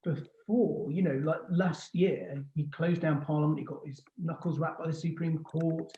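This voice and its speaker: A man moderating an informal panel on a video call, halting and repeating words as he goes. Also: on the high side, fairly even intonation. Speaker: host, magazine editor. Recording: muffled, echoey call audio.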